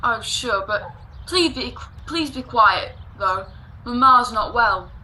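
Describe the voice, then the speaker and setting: A woman's voice: a little high, expressive, slow, pushed and bright, heavily acted, low-quality recording. A woman playing an earnest, restless, dutiful son in a radio drama. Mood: helpful, hushed. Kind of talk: classic radio drama acting.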